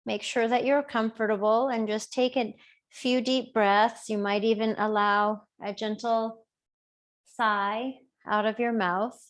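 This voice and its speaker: A woman teacher gently guiding a body scan meditation in an unhurried, soothing way with long pauses. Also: fairly high pitch, little pitch movement. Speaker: yoga and body awareness teacher.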